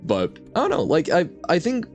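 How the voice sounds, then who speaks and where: A man's voice: on the high side, wildly swooping pitch, moderate pace, faint music behind. A man streaming a video game, talking casually to his chat in a rambling, reflective way and laughing often. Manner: reads and answers chat. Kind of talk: casual gameplay commentary.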